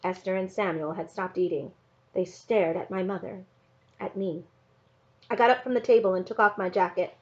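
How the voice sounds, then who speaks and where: A woman reading a children's novel aloud in an expressive narrating style. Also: mid-range voice, moderate pace. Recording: slightly dull, lo-fi sound.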